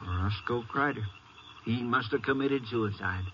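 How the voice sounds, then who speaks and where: An actor playing a practical county sheriff in a radio western, American, matter-of-fact and folksy. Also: male voice, medium pitch, a little slow, fairly bright tone, lightly acted. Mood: puzzled. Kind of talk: 1950s radio western acting. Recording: muffled, noisy old radio broadcast.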